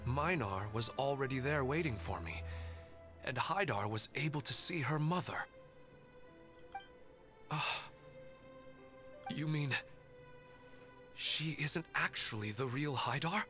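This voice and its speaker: A man voicing a gentle, troubled father in a video game, devoted to his family, speaking in a halting, earnest way. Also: medium pitch, expressive, over music. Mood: confused.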